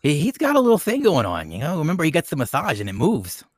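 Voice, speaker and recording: A man's voice: a little high, wildly swooping pitch, fast talker, no room sound, studio quality. A man co-hosting a podcast in casual chat, rambling and often circling back to repeat himself. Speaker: podcast co-host.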